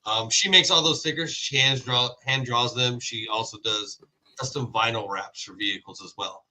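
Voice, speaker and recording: A man raised in Hawaii talks casually as a podcast guest, easygoing and chatty, often dropping a dude or man. His voice is bright and forceful at an ordinary pitch. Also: moderate pace, poor audio. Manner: laid-back, chatty, says dude and man. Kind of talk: casual chat.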